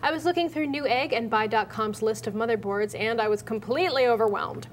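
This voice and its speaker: High-pitched American woman presenting. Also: brisk, a little hiss or hum. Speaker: co-host of a tech show. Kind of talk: casual presenting and reading out viewer emails. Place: TV studio at a desk.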